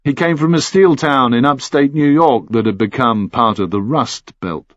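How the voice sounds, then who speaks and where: A man's voice: mid-range voice, moderate pace, fairly bright tone, no echo, lo-fi sound. Male thriller audiobook narrator, dry and close. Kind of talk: scripted first-person thriller narration.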